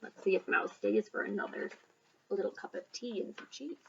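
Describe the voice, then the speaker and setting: Bright-voiced woman reading a storybook aloud. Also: mid-range voice, creaky, distant mic, lo-fi sound, a little hiss or hum. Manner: dramatic emphasis and pauses. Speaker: elementary school storybook reader. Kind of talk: expressive children's read-aloud with asides.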